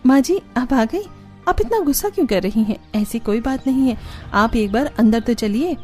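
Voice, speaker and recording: A woman acts out a cheerful young village daughter-in-law for a cartoon moral story. She sounds earnest and resourceful, pitched a little high, with a gently mellow edge. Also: lively intonation, normal speed, faint music behind, natural voice. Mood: pleading.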